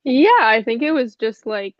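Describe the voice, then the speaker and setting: A woman's voice: fairly high pitch, moderate pace, no room sound. Brief: young woman, mildly stuffy, easygoing rambling talker, dull lo-fi call sound, dry. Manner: relaxed, rambling, many fillers and soft laughs. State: a little stuffy. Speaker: musician guest. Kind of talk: casual interview chat.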